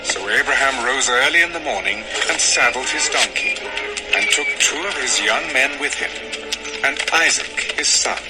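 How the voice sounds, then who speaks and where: A man narrating a dramatized scripture text in a solemn, measured, formal style. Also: mid-range voice, animated, low-quality recording. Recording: music under the voice.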